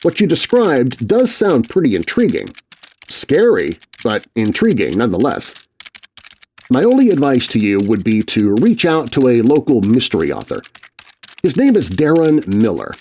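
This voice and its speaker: Male narrator, middle pitch with an animated range, expressive read of letters for a kids' scary podcast. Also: normal speed, slight background noise. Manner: expressive reading of letters aloud. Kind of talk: scripted storytelling narration.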